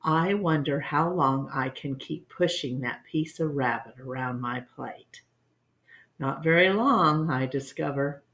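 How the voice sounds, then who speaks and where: Slow, low-voiced woman reading a storybook. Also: a bit bright. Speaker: read-along storyteller. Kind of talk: expressive children's book read-aloud.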